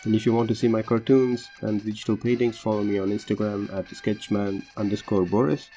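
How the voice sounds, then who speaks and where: A man whose first language is Tamil talks through a film analysis in a loose, unscripted way, full of ums, uhs and restarts. His voice sits on the low side with a dark, mellow tone. Faint music plays quietly beneath his voice. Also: little pitch movement, fairly quick. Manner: hesitant, many fillers and restarts. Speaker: film analysis podcast host. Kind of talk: casual unscripted movie commentary. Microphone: home recording over drawings.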